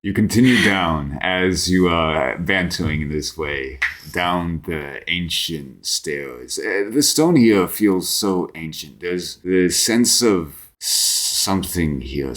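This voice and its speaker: A man narrating a tabletop game as game master, with measured, deliberate, atmospheric storytelling. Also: deep voice, animated, a bit bright.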